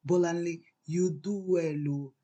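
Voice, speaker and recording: A woman's voice: on the low side, fairly even intonation, measured pace, warm tone, highs cut off. A woman voicing a long-suffering, defiant wife in a folktale, solemn and conspiratorial. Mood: bitter triumph. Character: Bolanle, grave, defiant, long-suffering secretive Nigerian wife.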